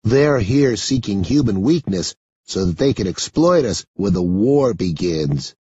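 A man's voice: fairly low pitch, lively intonation, a little slow, mellow, slightly rough, no room sound, lo-fi sound, full character voice. A man voicing an earnest, matter-of-fact teenage boy who loves conspiracy theories, in an audiobook.

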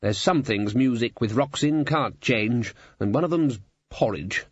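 A man's voice: medium pitch, unhurried, slightly rough, dry recording, lo-fi sound. A man voicing a jovial older wizard who runs a university, bluff and courteous, in a storybook reading. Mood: affable. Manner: bluff, conversational, courteous with hesitations.

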